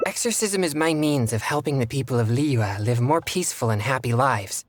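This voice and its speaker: A man voicing a reserved teenage boy exorcist in a video game, earnest, measured and formal in manner. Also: medium pitch, lively intonation, close to an everyday voice. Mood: earnest, calm.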